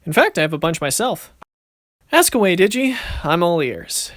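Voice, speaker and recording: Man playing a cocky young male duelist, higher voice, dry close sound, swaggering, good-natured, amateur anime drama. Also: very animated, normal speed, a bit bright, no echo. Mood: casual, friendly. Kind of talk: amateur anime fan-series voice acting.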